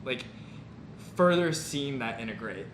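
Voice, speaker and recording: A man's voice: mid-range voice, animated, a little slow, a bit bright. A young man, a medical student vlogger, hosting a casual interview and sounding eager and a little nervous. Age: young adult. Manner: eager, slightly nervous, uses filler words. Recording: echoey room with faint noise.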